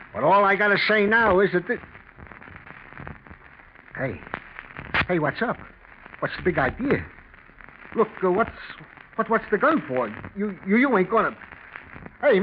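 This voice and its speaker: Casting: jittery hobo, high pitch, swooping delivery, slangy and stammering, vintage radio drama. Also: male voice. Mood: panicked, pleading. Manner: stammering, slangy tough-guy speech. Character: frightened, suspicious street tramp, wary and pleading. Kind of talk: 1940s radio acting. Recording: muffled old radio recording with faint noise.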